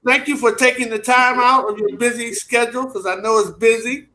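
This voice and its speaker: A man's voice: very high voice, steady tone, fairly quick, sharp tone, slight background noise. A man hosting a talk show, introducing his guest in a formal, welcoming way. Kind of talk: interview hosting.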